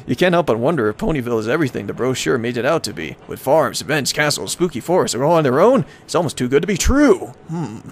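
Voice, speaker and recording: A man's voice: medium pitch, sing-song, fast talker, hardly any pauses. A man reading a visual novel aloud as a let's player, breaking off for casual, wry commentary. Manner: playful, wry asides.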